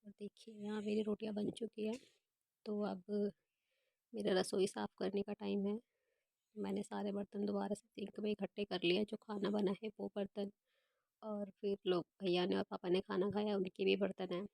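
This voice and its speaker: A young woman vlogger with a hoarse, gravelly voice from a cold and a dark, mellow tone. She talks in a flat, even way, explaining her kitchen chores step by step. The recording is dry and close. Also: medium pitch, moderate pace, no echo. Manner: chatty, explains her daily routine step by step. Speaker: home vlogger. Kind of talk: casual voice-over narration of home chores.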